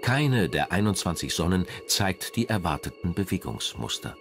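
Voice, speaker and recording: A man narrating a scripted documentary in a measured, dramatic way. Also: deep voice, sing-song, a bit bright. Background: background music.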